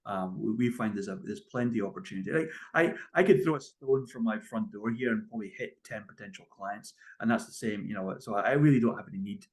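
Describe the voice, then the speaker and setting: Scottish guy, kinda low voice, founder being interviewed, chatty but emphatic. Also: quick pace, hardly any pauses, rough recording. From Scotland. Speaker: guest, tech company founder and CEO. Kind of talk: conversational interview answers.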